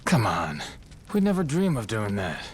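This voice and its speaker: A man's voice: lowish voice, wildly swooping pitch, normal speed, slightly rough, full character voice. A man playing an evasive, placating Yakuza schemer in a video game, with a deferential manner. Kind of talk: video game cutscene acting.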